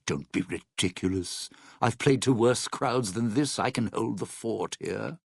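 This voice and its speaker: An actor playing a wary, sarcastic, smooth-talking lounge entertainer and survivor in a video game, with a husky, theatrical drawl. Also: male voice, on the low side, unhurried, no room sound, studio quality, full character voice. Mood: wary, sardonic. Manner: wry, hedging, theatrical showman's drawl. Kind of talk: video game dialogue acting.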